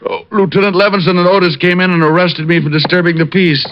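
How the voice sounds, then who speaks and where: Actor as wisecracking detective, muffled old radio. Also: male voice, high voice, steady tone, fast, close to an everyday voice. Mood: sheepish, amused. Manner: wry, dry, casual. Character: wisecracking, unflappable male private detective. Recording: muffled vintage radio broadcast.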